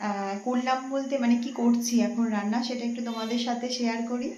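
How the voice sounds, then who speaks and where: A woman's voice: on the high side, fairly even intonation, fast, highs cut off, heavy background noise. A woman, narrating a casual vlog and chatting to viewers as friends. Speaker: vlogger.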